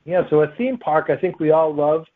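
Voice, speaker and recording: A man's voice: a little high, expressive, moderate pace. A man speaking as a guest author, answering interview questions in a casual, conversational way. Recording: tinny, phone-like line.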